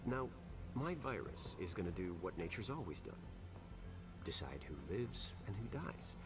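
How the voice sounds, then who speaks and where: An actor playing a coldly fatalistic scientist villain in a video game, delivering a menacing, philosophical monologue. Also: male voice, low voice, big pitch swings, measured pace, music in the background. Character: coldly fatalistic male scientist who engineered a virus. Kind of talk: dramatic game cut-scene monologue.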